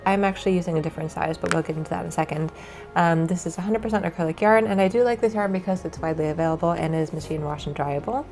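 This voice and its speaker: A woman with a stuffy, cold-affected voice, explaining a knitting tutorial in a relaxed way with frequent ums. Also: on the low side, very fast. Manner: relaxed, many ums and uhs. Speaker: knitting instructor.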